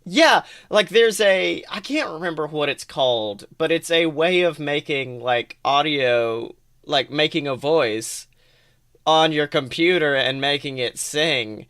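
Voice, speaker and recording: A woman's voice: low voice, animated, a little slow, a bit bright. A woman co-hosting a podcast, chatting casually as a fan and swearing freely. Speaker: podcast co-host.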